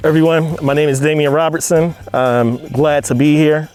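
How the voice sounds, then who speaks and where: A middle-aged man from Virginia, a financial planner and podcast guest, who introduces himself in a measured, formal way. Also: fairly high pitch, fairly even intonation, darkish tone, faint music behind. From from Virginia, United States.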